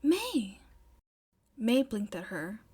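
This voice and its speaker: A woman's voice: medium pitch, expressive, a little slow. A woman reading scripted fiction as a story narrator. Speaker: podfic narrator.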